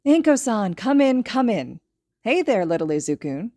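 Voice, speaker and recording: Storybook role: short-tempered, feisty mum, voiced by a woman, brisk, pitched up. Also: very animated, warm tone, no room sound, studio quality. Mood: welcoming. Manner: brisk, scolding. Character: feisty, short-tempered mother, sweet to guests.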